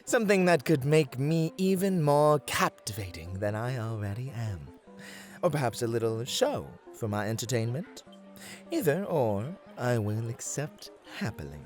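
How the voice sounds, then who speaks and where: A man's voice: medium pitch, lively intonation, normal speed, soft background music, lightly acted. A man voicing a flirty, playful, vain satyr in a fantasy roleplay, with a theatrical, teasing, coaxing delivery. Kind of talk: fantasy roleplay ASMR acting.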